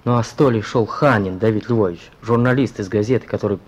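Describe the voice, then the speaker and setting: An actor playing a plain-spoken, grim policeman with a husky voice, reporting colloquially in a Russian radio play. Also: on the low side, fairly quick, lightly acted. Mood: grim, bitter. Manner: colloquial, matter-of-fact, grim.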